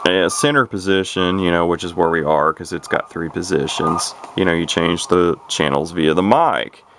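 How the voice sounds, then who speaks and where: Very deep-voiced man rambling over noise. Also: normal speed. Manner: folksy, rambling, thinking aloud. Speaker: hobbyist demonstrating a CB radio. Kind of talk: casual unscripted product demo.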